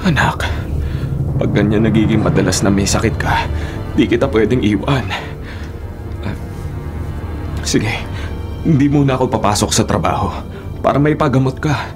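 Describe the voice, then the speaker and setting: A man's voice: fairly low pitch, normal speed, long pauses, music in the background, natural voice. An actor playing an anxious, hot-tempered, devoted father in a Filipino radio drama, with an emotional, insistent delivery. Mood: worried. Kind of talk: Tagalog radio drama acting.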